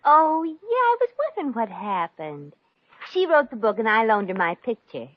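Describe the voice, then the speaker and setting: Brassy, good-hearted young wisecracker, very lively pitch, breezy slang, dull vintage radio comedy sound. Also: female voice, moderate pace, no echo. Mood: breezy, helpful. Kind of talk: 1950s radio comedy acting. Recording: dull old-time radio recording.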